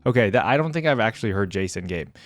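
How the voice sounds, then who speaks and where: A man's voice: lowish voice, lively intonation, fast, dry recording. A man hosting a reaction video, giving casual off-the-cuff commentary.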